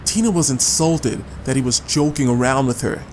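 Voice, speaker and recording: A man's voice: medium pitch, moderate pace, darkish tone, a little hiss or hum. A man reading a scripted short story as a dramatic audiobook narrator. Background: music.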